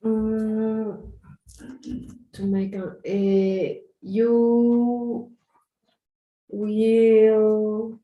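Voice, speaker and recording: Hesitant woman, Spanish native, very slow. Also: a little high, level tone, reverberant, highs cut off. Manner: hesitant, searching for words. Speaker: student.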